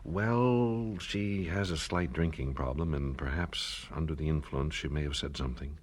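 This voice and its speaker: An actor playing a worried, wealthy landowner in a 1970s radio drama, speaking in a hesitant, measured, troubled way. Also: male voice, a bass voice. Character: weary wealthy landowner, worried father of a kidnapped family.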